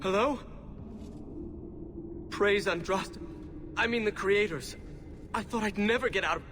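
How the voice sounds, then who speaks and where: A man's voice: high-pitched, unhurried, in a large hall, noisy background. An actor playing a fearful, suspicious young male elf in a fantasy video game, frantic and pleading. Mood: startled, relieved.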